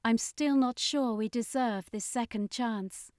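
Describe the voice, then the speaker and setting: A woman's voice: on the high side, measured pace, clean tone, no echo, studio quality, voice pushed up, lightly acted. Woman playing a humble female alien survivor. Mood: regretful, earnest. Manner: earnest, humble.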